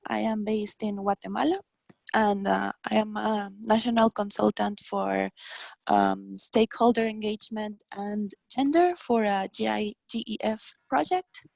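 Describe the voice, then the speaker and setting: Gravelly-voiced woman consultant on a call. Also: mid-range voice, fairly even intonation, measured pace, a little mellow, dry recording. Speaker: national consultant on gender. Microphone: video call.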